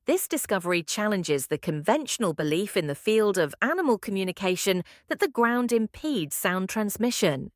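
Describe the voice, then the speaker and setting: A woman's voice: medium pitch, lively intonation, normal speed, few pauses, no room sound, studio quality. A woman reading a scripted academic passage aloud as a model for test practice. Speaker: test prep model reader.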